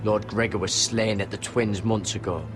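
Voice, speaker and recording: A man's voice: lowish voice, fairly even intonation, moderate pace, natural voice. An earnest young ranger, played by a man, speaking steadily and sincerely. Game music plays under him. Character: earnest young male Night's Watch ranger. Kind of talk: video game voice acting.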